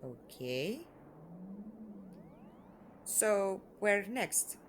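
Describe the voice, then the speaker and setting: Lively, curious female companion, acted by a woman. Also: mid-range voice, very slow, slight background noise. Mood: concerned, curious. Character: curious, caring young female travelling companion. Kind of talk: audio drama acting.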